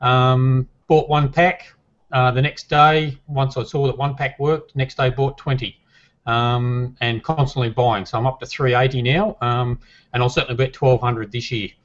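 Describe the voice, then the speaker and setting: A man giving a casual testimonial on a call, relaxed and enthusiastic, with many ums. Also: mid-range voice, a little slow, lo-fi sound. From Australia. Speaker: guest member.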